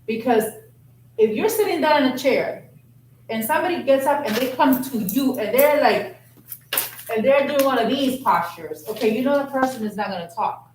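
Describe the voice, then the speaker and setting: A woman's voice: high-pitched, lively intonation, normal speed, darkish tone. Woman counselor giving direct advice. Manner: direct, advising with repeated phrases. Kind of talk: group counseling talk. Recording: echoey room from far off, noisy.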